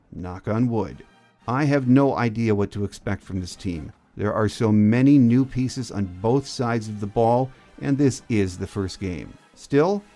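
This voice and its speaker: Lower-pitched male sports podcast host. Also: darkish tone. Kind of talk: sports podcast monologue and interview.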